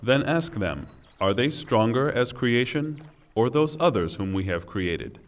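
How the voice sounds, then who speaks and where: A man's voice: mid-range voice, lively intonation. Solemn man reading scripture with long reverb. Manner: solemn, deliberate, formal. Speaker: reader of Quran translation.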